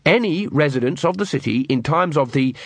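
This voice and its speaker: Storybook role: polite, literal-minded young city guard, done by a man, high-set and swooping, dry close recording. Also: expressive, no echo. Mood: earnest, polite. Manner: measured, formal, officious.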